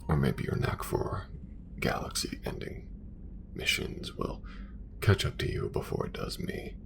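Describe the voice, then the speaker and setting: A man voicing a calm, devout male alien assassin in an ASMR romance roleplay, whispering in slow, hushed, formal phrases. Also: very deep voice, heavily acted. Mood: tender. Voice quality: gravelly whisper.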